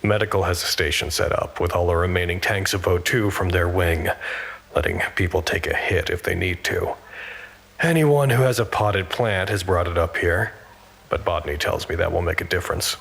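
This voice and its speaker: An actor playing an anxious, weary researcher who narrates a log in a measured, wry way for an audio drama. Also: male voice, sits low, creaky, fairly bright tone, full character voice. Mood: weary, uneasy.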